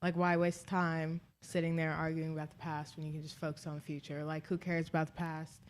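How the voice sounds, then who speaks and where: A woman's voice: deep voice, little pitch movement, fairly quick. A nineteen-year-old woman on a panel, speaking bluntly and dismissively. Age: teens. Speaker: panel guest. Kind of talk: casual discussion.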